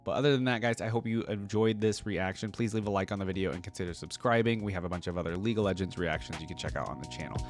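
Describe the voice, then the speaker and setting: Low-voiced man, casual reaction host. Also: fast, hardly any pauses, soft background music. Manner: conversational, rambling. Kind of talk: casual commentary to viewers.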